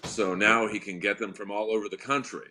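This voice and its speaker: A man with a deep voice, a comedian guest telling wry, rambling stories in a casual podcast chat. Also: steady tone, brisk, pushed and bright.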